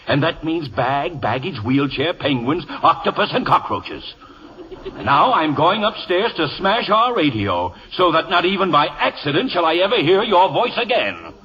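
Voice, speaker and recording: An actor playing a stuffy, fed-up householder, pompous and irate, in 1950s radio comedy style. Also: male voice, a little high, sing-song, moderate pace, sharp tone, a little hiss or hum. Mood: seething. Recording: muffled old radio broadcast, hall reverb.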